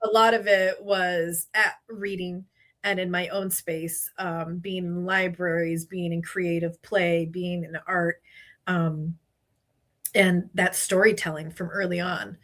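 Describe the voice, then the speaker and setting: Bright-voiced woman, native Spanish speaker. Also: fairly low pitch, level tone, takes it slowly. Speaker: podcast guest. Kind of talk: casual conversational storytelling.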